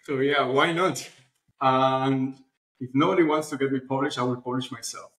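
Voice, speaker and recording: A man's voice: medium pitch, steady tone, moderate pace, a bit bright. Man in an echoey room, chatting casually. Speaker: interview guest, publishing platform founder. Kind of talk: casual conversational interview answers. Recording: roomy, echoey sound.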